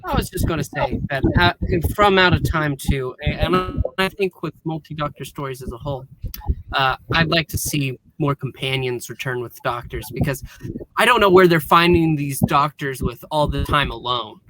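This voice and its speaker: A man's voice: on the high side, normal speed, background noise. A man chatting casually as a panelist on a group call. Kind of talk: casual chat.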